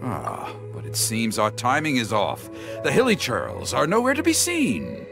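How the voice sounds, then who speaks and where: Excitable theatrical storyteller, swooping pitch. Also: male voice, a little slow, vocal fry, full character voice. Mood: curious, eager. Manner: flamboyant, dramatic, grandiose narrating flourishes. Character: excitable theatrical male storyteller gathering heroic material. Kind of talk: video game voice acting. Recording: music under the voice.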